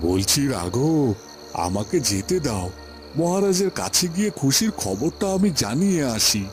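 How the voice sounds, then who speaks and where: Courteous court astrologer, medium pitch, very expressive, formal, cartoon dub. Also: male voice, a little slow, faint music behind. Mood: pleading. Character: honest royal astrologer, earnest and courteous. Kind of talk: Bengali cartoon fairy-tale dubbing.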